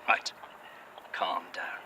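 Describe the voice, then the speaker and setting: A man's voice: drawn-out words, bright, forceful tone, slight background noise, exaggerated voice. An actor playing a curt, sarcastic man who swears freely. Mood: irritable. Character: bitter, sweary, sardonic man, weary. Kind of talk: audio drama acting.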